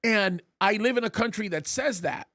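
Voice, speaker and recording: High-pitched guy pastor-host, emphatic, stumbles on repeats, dry close mic. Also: animated, normal speed, a bit bright, no echo. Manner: emphatic, repeats words when starting a point. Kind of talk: live political talk show discussion.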